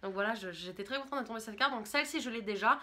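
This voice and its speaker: A young woman from France talks straight to her viewers in a loose, chatty, rambling way, clearly enthusiastic about her hobby. Her voice sits a little high and comes across bright and crisp. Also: rapid-fire, a little hiss or hum. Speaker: YouTuber. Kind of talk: casual vlog monologue.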